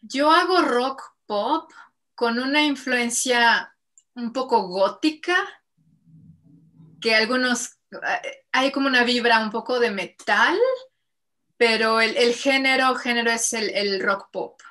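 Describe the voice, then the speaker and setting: Mexican woman, 30s, singer guest, bright and a touch high, chatty with fillers, webcam audio from home. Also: slow, pauses often. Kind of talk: casual interview over video call. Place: home room with bookshelves and string lights. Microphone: video call webcam.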